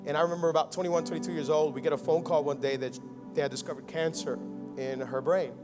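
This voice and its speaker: Young man, high voice, pastor, fervent sermon, music underneath. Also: fairly even intonation, fast. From United States (Miami). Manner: rousing preaching, swinging from loud and fervent to quiet and intimate. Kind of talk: Christmas sermon.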